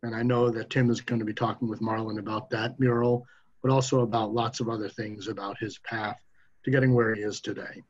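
A man's voice: fairly low pitch, level tone, fairly quick. A middle-aged man, the event host, gives an orderly opening over a video call. His voice comes through a laptop webcam mic, and the audio is slightly dull and muffled. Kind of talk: introduction of a talk.

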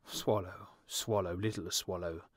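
A man voicing a gentle, sorrowful prince who is a statue, in a storybook reading. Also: sits low, steady tone, measured pace, no room sound, studio quality.

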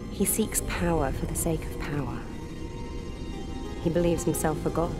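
An actress playing a mysterious, all-knowing goddess figure in a game cutscene, speaking in a measured, solemn, prophetic way. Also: female voice, low-pitched. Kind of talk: video game cutscene acting.

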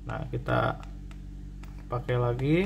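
A man's voice: mid-range voice, huge pitch swings, slow pace, vocal fry, a little hiss or hum. A man giving a casual hands-on tutorial as a repair technician, repeating and rephrasing as he explains. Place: indoor cluttered repair workbench.

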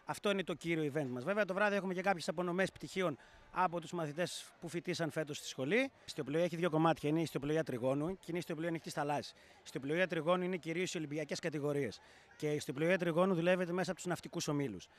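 Thirties male school director, outdoor street interview on a handheld mic, slightly high pitch, steady and explanatory. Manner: measured, explanatory, with hesitant fillers. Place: outdoor café terrace in a town. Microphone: handheld reporter mic.

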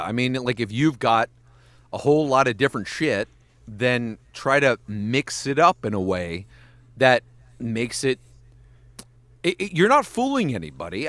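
A man's voice: mid-range voice, fairly bright tone, no room sound. A man, a voice actor and animator, giving casual podcast advice, swearing freely and breaking into voice demonstrations.

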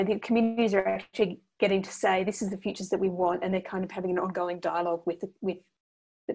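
An Australian woman curator talking on a video call, rambling and searching for words. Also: medium pitch. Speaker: exhibition curator. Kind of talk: casual video call chat. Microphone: laptop video call.

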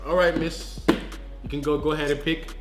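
A man's voice: fairly high pitch, level tone, brisk. A young man hosting a challenge vlog in playful banter, with a dramatic, exclamatory way of talking. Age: young adult. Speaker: vlog host. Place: indoor room at home, at a table. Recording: roomy indoor echo, music underneath. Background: music.

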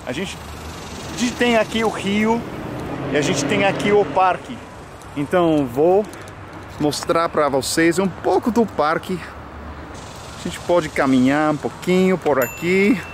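A young American man, a native English speaker, chatting casually to the camera in a sunny park, relaxed with lots of fillers. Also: a little high. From United States. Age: young adult. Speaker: vlogger and English teacher. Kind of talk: casual outdoor vlog. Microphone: camera mic at arm's length. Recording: outdoor noise from traffic.

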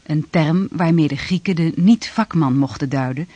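A man acting a learned narrator in a radio drama. His fairly high, husky voice delivers formal scripted exposition in a dry studio sound. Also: normal speed, mellow, dry recording, close to an everyday voice. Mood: expository.

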